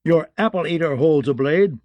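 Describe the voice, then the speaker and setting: A man's voice: on the high side, animated, a little slow, darkish tone, no room sound, pitched above the performer's own voice. A man voicing a contemptuous, hot-headed nobleman swordsman with a sneering, goading delivery in a fantasy audiobook. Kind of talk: fantasy audiobook character acting.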